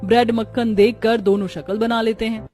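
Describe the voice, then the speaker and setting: A woman's voice: a little high, steady tone, moderate pace, a little mellow, faint music behind. A woman narrating a scripted story as the storyteller.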